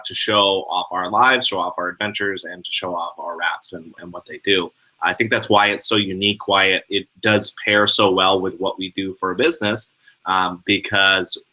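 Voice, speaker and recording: Young man co-host, very bright tone. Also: low-pitched, rough recording. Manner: relaxed explaining, frequent "uh" starts. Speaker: co-host of an online sales training. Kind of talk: casual instructional talk.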